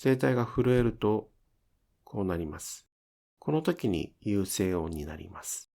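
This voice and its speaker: A man's voice: lowish voice, animated, measured pace, vocal fry, mellow. A man giving scripted instructional narration as a pronunciation teacher, enunciating carefully step by step.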